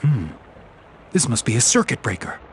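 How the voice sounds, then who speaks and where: A man's voice: medium pitch, very animated, moderate pace, gravelly, very noisy. A man playing a cool, wry, self-assured secret agent in a video game. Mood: cool, musing. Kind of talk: scripted video game dialogue.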